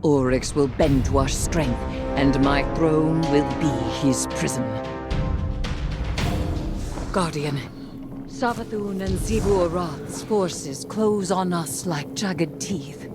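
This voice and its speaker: A woman playing a grim, vengeful sorceress queen in a video game, speaking in a solemn, deliberate, imperious way. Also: deep voice. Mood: commanding, menacing. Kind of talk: dramatic video game cutscene acting. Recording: loud music under the voice.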